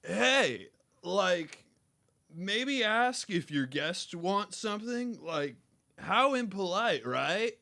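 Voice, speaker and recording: A man acting as a snooty slacker henchman for a radio comedy. The delivery is very slow and drawling, with big swoops in a lightly high voice. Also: big pitch swings, creaky, pushed and bright, full character voice. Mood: casual, snide. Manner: drawling, says like constantly. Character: laid-back, snooty West Coast dude henchman.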